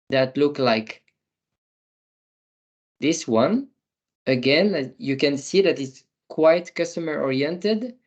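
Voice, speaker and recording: Man haltingly demoing software. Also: on the high side. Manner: halting, with small grammar slips and apologies. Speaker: business developer presenting software. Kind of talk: webinar product demo.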